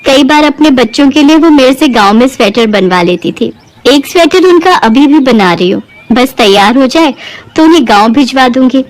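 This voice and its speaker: A woman's voice: high voice, expressive, normal speed. A woman voicing a humble, earnest village knitter who speaks politely and modestly in a Hindi moral-story cartoon. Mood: polite, eager.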